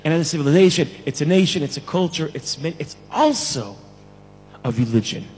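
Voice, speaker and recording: A man from Israel giving an emphatic lecture to a conference plenary, repeating key phrases for effect, with a husky voice. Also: fairly high pitch, expressive, a little fast, a little mellow, in a large hall, soft background music. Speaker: conference speaker. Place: large conference hall.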